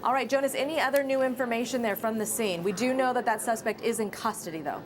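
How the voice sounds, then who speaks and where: A woman's voice: fairly high pitch, fast talker, crowd noise. An American woman anchoring live, unscripted breaking news coverage.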